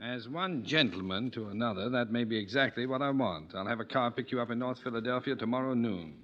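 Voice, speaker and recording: An actor playing a suave, understated ex-husband in a 1940s radio drama. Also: male voice, medium pitch, fairly quick, natural voice. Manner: smooth, understated. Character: suave, cool male ex-husband. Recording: muffled old radio with faint noise.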